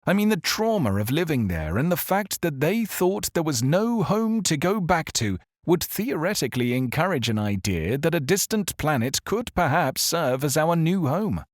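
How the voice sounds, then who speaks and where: An actor playing an earnest, weary exiled therapist, speaking solemnly and deliberately in a dramatic audio-fiction monologue. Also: male voice, on the high side, sing-song, no echo, clean studio sound. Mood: earnest, justifying.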